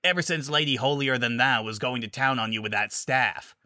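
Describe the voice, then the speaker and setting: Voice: mid-range voice, brisk, pushed and bright, no room sound, clean studio sound, close to an everyday voice. A narrator voicing a brash, teasing young female adventurer in a fantasy story, with a cocky, casual, flippant manner. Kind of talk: audiobook-style fantasy story character.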